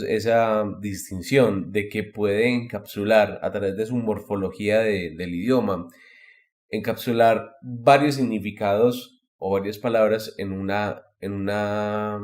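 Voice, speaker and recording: A man with a Latin American Spanish accent in a casual podcast discussion, explaining things haltingly and repeating words as he goes. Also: lowish voice, a little mellow. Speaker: podcast host.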